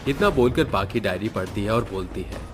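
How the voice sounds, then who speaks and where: A man's voice: on the low side, animated, brisk. A man narrating a scripted horror story as its storyteller. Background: faint background music.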